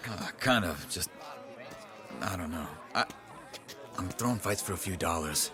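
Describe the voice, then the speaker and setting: A man acting an earnest, guarded fighter in a video game cutscene, plain-spoken and halting. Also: sits low, expressive, over music. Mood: guarded, resigned. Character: earnest, guarded male fighter, weary old friend.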